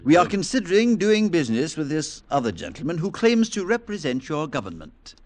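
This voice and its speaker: A man's voice: a little high, very animated, a little fast, darkish tone. An actor playing a courteous, cautious businessman in a 1940s radio drama, tactful and slightly hesitant. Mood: polite, cautious. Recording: 1940s radio broadcast recording.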